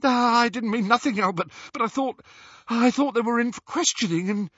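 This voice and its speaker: A nervous male wizard from an audiobook, put on by a male narrator. His voice sits a little high, tight and husky. He is deferential and falters as he talks. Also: big pitch swings, a little slow, pushed and bright, no room sound, dull audio, exaggerated voice. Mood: scared apology. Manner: apologetic, faltering, colloquial. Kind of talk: acted audiobook dialogue.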